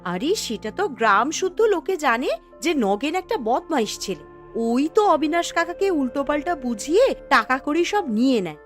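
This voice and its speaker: Woman acting high, animated young village woman. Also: normal speed, faint music behind. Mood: surprised. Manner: expressive, questioning, scolding. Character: lively young village woman, indignant and outspoken. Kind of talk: cartoon folk-tale acting.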